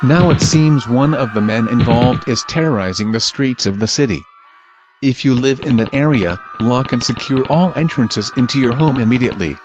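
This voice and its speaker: A man's voice: mid-range voice, normal speed, highs cut off, music in the background. A man reading scripted emergency broadcast announcements as an alert announcer. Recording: clearly noisy background.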